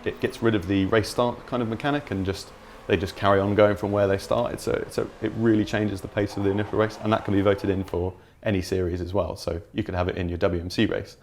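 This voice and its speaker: A man's voice: on the low side, flat delivery, fast talker, hardly any pauses, darkish tone, slight background noise. A man chatting informally and enthusiastically as a game developer explaining features in an interview. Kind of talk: casual explanatory chat.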